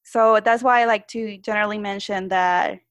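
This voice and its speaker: A woman's voice: medium pitch, fairly even intonation, normal speed, fairly bright tone, dry recording. A woman from Puerto Rico with a Spanish accent, asking interview questions earnestly and sometimes searching for words. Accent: Puerto Rican Spanish.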